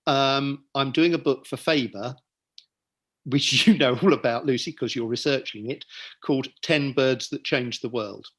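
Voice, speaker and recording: A man chats about his writing in a breathy, husky voice, easygoing and quick to poke fun at himself. He speaks over a video call, drifting into little asides and jokes as he goes. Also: mid-range voice, fairly bright tone. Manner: chatty, self-deprecating, joking asides. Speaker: author and guest. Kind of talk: casual book-launch conversation.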